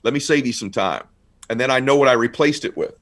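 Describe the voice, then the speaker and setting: A middle-aged man in casual conversation as a guest, telling stories with animated bursts of excitement. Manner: animated, quick storyteller, rising to excited highs. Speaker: guest speaker.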